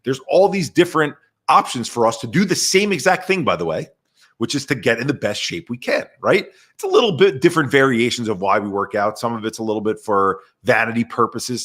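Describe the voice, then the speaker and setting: A man talking as a podcast guest in an animated, energetic way over a video call. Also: lowish voice. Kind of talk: casual conversation.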